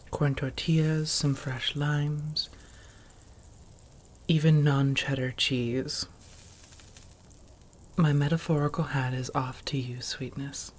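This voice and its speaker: A soft-spoken woman acting an affectionate, refined female vampire roommate in an ASMR roleplay, often near a whisper, with formal phrasing and coy pet names. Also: a bass voice, slight background noise.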